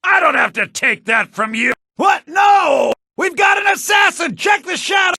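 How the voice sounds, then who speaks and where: A man playing a sly, grasping shopkeeper in a fantasy video game, with a raspy, theatrical delivery, in low-bitrate compressed audio. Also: lively intonation, a little slow, bright, forceful tone, dry recording, shouting, clean studio sound, full character voice. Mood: alarmed, angry. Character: sly fantasy male shopkeeper, grasping, quick to yell at thieves. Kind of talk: video game character barks.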